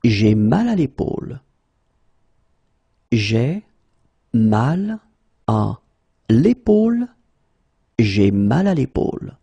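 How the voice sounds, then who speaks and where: A man teaching French in a pronunciation drill, slowly repeating each phrase and then saying it word by word. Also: medium pitch, big pitch swings, gravelly, dark tone, breathy edge, dry recording.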